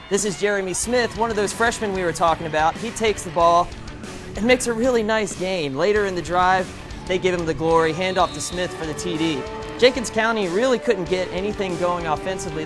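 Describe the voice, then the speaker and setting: An American man reporting high school football highlights in a conversational, upbeat style. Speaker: sports reporter. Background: music bed.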